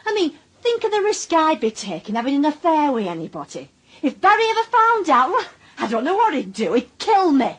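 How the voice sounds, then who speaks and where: A woman's voice: sits high, big pitch swings, a little fast, a bit bright. A woman playing a defensive, blunt working-class Northern English wife and mother, middle-aged, in a naturalistic radio drama. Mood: indignant. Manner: colloquial, blunt, wry. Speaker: lead actress and narrator in a radio drama.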